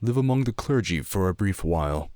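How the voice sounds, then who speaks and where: A man's voice: low-pitched, lively intonation, fairly quick, darkish tone, no room sound, clean studio sound. A man playing an earnest, reflective druid pilgrim in a fantasy audio drama, thoughtful and formal in speech.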